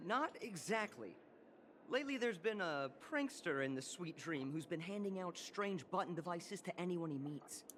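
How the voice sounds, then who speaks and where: A woman's voice: lowish voice, animated, normal speed, a little hiss or hum, lightly acted. A woman voicing a polite security guard in a video game, courteous and cautioning. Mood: cautioning, wry. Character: polite security guard, official but easygoing.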